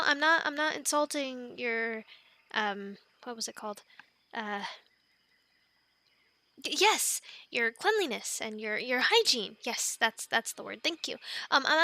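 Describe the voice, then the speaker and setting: A woman's voice: high-pitched, dry recording, heavily acted. A woman playing a quirky, awkward young animal scientist stranded on an island, stammering and rambling in an ASMR audio roleplay. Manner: stammering, rambling, flustered, urgent hushing in whispers.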